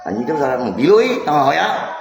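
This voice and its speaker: A man's voice: fairly high pitch, big pitch swings, a little fast, gravelly, a little hiss or hum. A man chatting casually and insistently on a live stream, often repeating himself. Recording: echoey room, music mixed in. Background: music.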